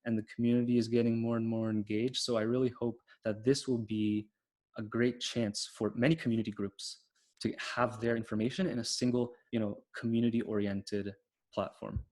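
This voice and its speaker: A man's voice: mid-range voice, flat delivery, normal speed, darkish tone. Male panelist, mid-range voice, eager explainer with plenty of fillers, video-call feel. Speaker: panelist, platform founder. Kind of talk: online panel discussion.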